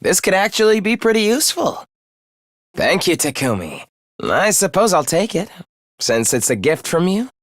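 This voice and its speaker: A young video game villain who despises humankind. He speaks with formal, condescending politeness and quiet menace, his pitch swinging theatrically from low to high. The sound is very dry and close, free of any room. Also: sing-song, normal speed, dry recording. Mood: soft, courteous. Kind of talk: English video game voice acting.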